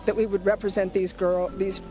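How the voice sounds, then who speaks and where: A woman giving halting, unscripted interview remarks. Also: medium pitch, little pitch movement, over music.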